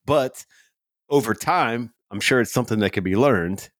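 A man's voice: medium pitch, moderate pace, no echo, clean studio sound. A man co-hosting a casual podcast chat, talking in an easygoing way with frequent "you know". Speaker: podcast co-host.

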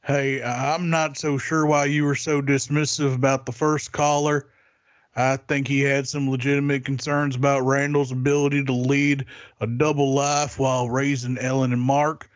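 A man's voice: mid-range voice, flat delivery, moderate pace, no room sound, lightly acted. An indignant, earnest call-in listener, acted by a man in a comedy audio play. His mid-range voice is captured dry and a little dull. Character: indignant, concerned male radio show caller.

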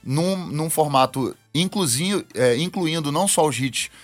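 A man's voice: a little high, lively intonation, unhurried, soft background music. A man, a band member guest, explaining steadily in an interview. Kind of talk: interview answer.

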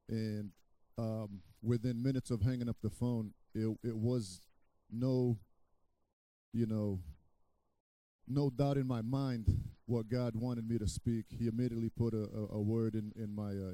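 A middle-aged man from Brazil, a guest pastor preaching a sermon, halting with restarts, then loud and emphatic. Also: fairly low pitch, no room sound.

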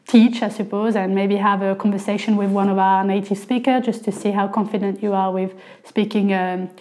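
A woman's voice: medium pitch, steady tone, a little fast, warm tone. A woman from northern France with a French accent, speaking English as a guest in a casual podcast conversation, chatty and full of fillers and restarts. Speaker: guest, language coordinator.

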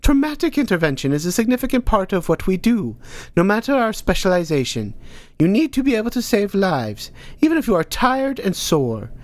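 Male reader doing stern elderly female cleric, high, scolding. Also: very animated, a little mellow, dry recording. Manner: brisk, scolding.

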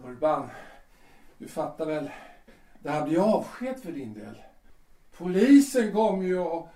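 A man's voice: a little high, big pitch swings, dark tone. A man in an audio drama playing a lead actor who stammers and pleads in panic as a drug takes hold. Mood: panicked pleading. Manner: pleading, stammering, breathless protests. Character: panicked male star actor, drugged and fading. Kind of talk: amateur audio drama acting. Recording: roomy, distant mic.

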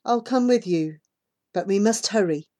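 A woman's voice: mid-range voice, moderate pace, warm tone, natural voice. A woman voicing a wary country boy who herds goats, suspicious and challenging, in an audiobook story. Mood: hesitant. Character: wary country boy goatherd, a little older than the hero.

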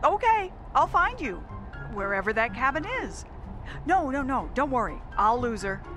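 An actress playing a frantic, exasperated museum assistant in a comic audio drama, melodramatic and scolding. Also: female voice, on the high side, big pitch swings, normal speed, over music. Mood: panicked.

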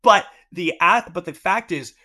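A man's voice: high-pitched, animated, a little slow, pushed and bright. A man co-hosting a podcast, explaining his views thoughtfully in a casual conversation, with frequent fillers. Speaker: podcast co-host.

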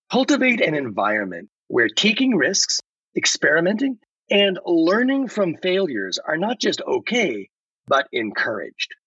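A man plays an upbeat AI advisor with a slightly high, husky voice that swings theatrically in pitch. The delivery is scripted, emphatic and folksy, like a podcast explainer, recorded dry and a little dull. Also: pushed and bright, no echo, full character voice. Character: upbeat AI chatbot playing a folksy innovation advisor. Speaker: podcast guest voicing an AI.

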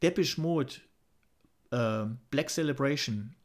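A man's voice: mid-range voice, slow. A middle-aged man chatting casually as a podcast co-host, often ending statements with a little questioning tag. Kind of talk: casual chat.

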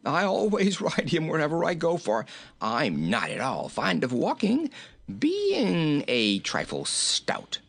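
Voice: mid-range voice, huge pitch swings, takes it slowly, vocal fry, pitched above the performer's own voice, exaggerated voice. A narrator voicing a jolly, fat little king from a storybook, chuckling, exclaiming and repeating himself merrily. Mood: cheerful.

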